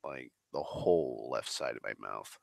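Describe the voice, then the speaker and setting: Very deep gravelly man, slow drawl. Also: a little mellow. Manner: swears a lot, drawling, slow and sighing. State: recovering from flu, slightly congested. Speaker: streamer. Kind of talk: rambling casual monologue. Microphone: desk microphone.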